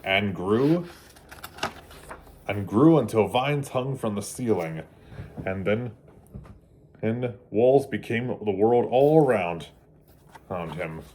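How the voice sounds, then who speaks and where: Slow, animated man reading to kids. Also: lowish voice, slight background noise. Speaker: read-aloud storyteller for children. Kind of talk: storybook narration for kids.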